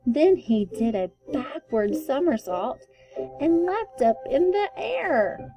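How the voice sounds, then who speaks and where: Woman reading a kids book out loud, slow and expressive, bit high voice, music behind her. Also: lo-fi sound. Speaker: read-aloud storyteller. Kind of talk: expressive children's storybook reading.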